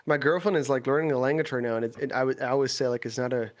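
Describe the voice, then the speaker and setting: A man's voice: medium pitch, fairly even intonation, racing. Young Dutch man with American-tinged English. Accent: American-sounding Dutch. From Netherlands. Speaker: podcast guest, gaming content creator. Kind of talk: casual chat.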